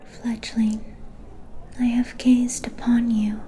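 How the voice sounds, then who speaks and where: Woman acting an ancient vampire seer, soft and dark. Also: fairly high pitch, little pitch movement, takes it slowly, a faint crowd, rough recording. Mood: solemn, ominous. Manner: solemn, portentous, mysterious. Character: ancient mystical female vampire seer, solemn and cryptic. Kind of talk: whispered ASMR roleplay.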